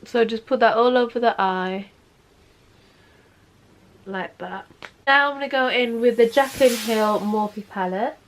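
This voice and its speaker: A woman's voice: on the high side, unhurried, long pauses. Sniffly young woman, chatty. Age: 20s. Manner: chatty, keeps saying "obviously". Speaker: makeup vlogger. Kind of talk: casual makeup tutorial talk. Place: bedroom, sitting on a bed.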